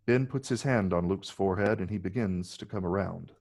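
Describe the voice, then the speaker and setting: A man's voice: low voice, a little fast, gravelly, darkish tone. A man narrating scripted stage directions in a table read.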